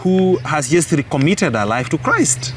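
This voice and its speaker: A man in his thirties from Kenya, a guest telling his story earnestly with many pauses and restarts. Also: on the high side, big pitch swings, a little hiss or hum. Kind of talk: storytelling in an interview.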